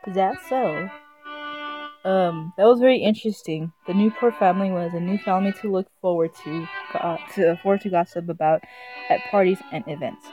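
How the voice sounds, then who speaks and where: A woman's voice: fairly low pitch, normal speed, music in the background, rough recording. A woman streaming a visual novel, reading it aloud casually, often stumbling over the words in a giggly way. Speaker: game streamer.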